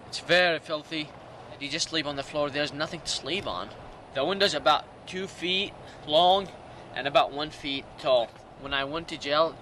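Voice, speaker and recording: A man in his late teens from Iraq with a Middle Eastern accent gives personal testimony in halting, non-native English. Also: on the high side, bright, forceful tone, a little hiss or hum. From Iraq, Jordan and Syria. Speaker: documentary interview subject. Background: music.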